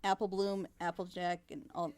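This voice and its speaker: A woman's voice: lowish voice, steady tone, normal speed, a crowd in the background. A woman hosting a casual group critique, giving her opinions and explaining them at length. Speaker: host of a panel commentating on audio dramas. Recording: home mic with clear background noise.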